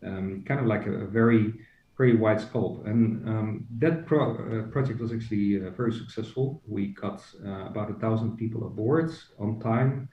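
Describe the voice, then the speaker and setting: A man's voice: fairly low pitch, normal speed. A middle-aged man, an HR executive and company co-founder, talking as a podcast guest, hesitant with many fillers and restarts. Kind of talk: casual interview. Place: joining remotely from home. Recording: roomy, distant, dull remote audio.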